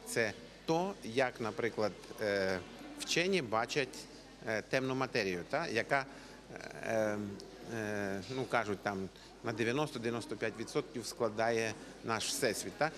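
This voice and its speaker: A man in his forties explaining something informally and haltingly into a reporter's microphone. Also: on the low side. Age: 40s. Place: exhibition hall. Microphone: handheld reporter microphone. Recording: clear background noise.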